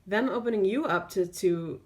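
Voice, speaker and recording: A woman tarot reader speaking off the cuff in an intuitive reading, feeling her way through phrases with drawn-out pauses. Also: mid-range voice, a little mellow, slight background noise.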